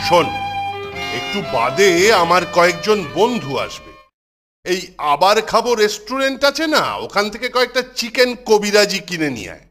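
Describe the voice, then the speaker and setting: A man's voice: high-pitched, lively intonation, normal speed, fairly bright tone, faint music behind. A man playing a stern, irritable older hostel superintendent in a cartoon, commanding and scolding. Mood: bossy.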